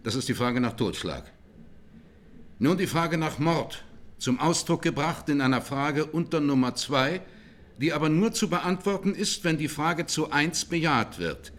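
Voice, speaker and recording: A male presiding judge speaks in a somewhat high voice. He is orderly, formal and matter-of-fact, working through procedure in a radio courtroom drama. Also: lively intonation, unhurried, a bit bright. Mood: formal, businesslike.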